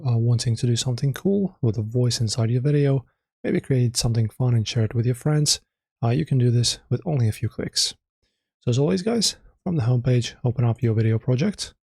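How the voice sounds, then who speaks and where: A man narrating a casual software tutorial in an informal, slightly hesitant way, often correcting himself as he explains. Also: medium pitch, husky, no echo. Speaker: tutorial host.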